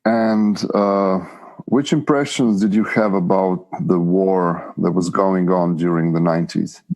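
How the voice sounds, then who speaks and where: A man's voice: deep voice, fairly even intonation, unhurried, darkish tone, rough recording. A man interviewing psychoanalysts, asking questions politely and formally.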